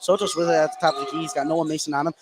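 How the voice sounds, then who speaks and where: A man with a fairly high-set voice works as a play-by-play commentator. He calls a live basketball game for radio, with light ambient noise of the gym behind him. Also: fairly even intonation, very fast. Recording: clear background gym noise.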